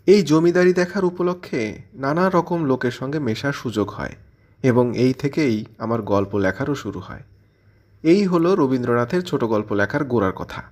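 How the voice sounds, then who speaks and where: A man's voice: mid-range voice, normal speed, darkish tone. A man presenting a scripted literary commentary in an explanatory way, posing questions and answering them as he goes. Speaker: presenter of a literary audio channel.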